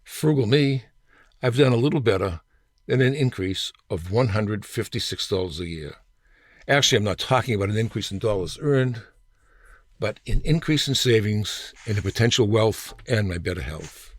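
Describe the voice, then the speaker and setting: An older man in his sixties or above reading his own humorous personal essay in a wry, deliberate way with emphatic phrasing. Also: lowish voice, lively intonation, no echo, clean studio sound. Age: 60s or older.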